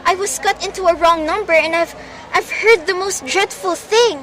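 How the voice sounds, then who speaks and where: A woman playing an anxious, imperious, highly strung caller in an amateur radio play, stammering and theatrically insistent. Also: moderate pace, a bit bright. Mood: horrified.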